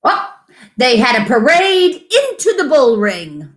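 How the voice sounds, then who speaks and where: A woman's voice: sits high, big pitch swings, slow pace. A woman reading a picture book aloud to children as a storyteller, with dramatic emphasis and asides to her listeners.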